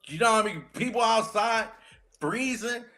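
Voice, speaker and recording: A man in his late thirties chatting casually and reflectively as co-host, in a slangy, relaxed way. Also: high-pitched, normal speed. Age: late 30s. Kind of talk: casual chat.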